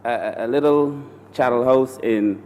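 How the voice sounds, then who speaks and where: A man's voice: medium pitch, moderate pace, a little mellow. A man with a Barbadian accent, a member of parliament, opening a formal, courteous speech in debate. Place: parliament chamber. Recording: long hall reverb with faint hiss.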